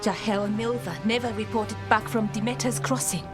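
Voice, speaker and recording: A serious woman leading forest scouts played by an actress in a fantasy game. Her fairly high voice carries concern, with light music underneath. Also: steady tone, a little fast, lightly acted. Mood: urgent concern. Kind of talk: game dialogue acting.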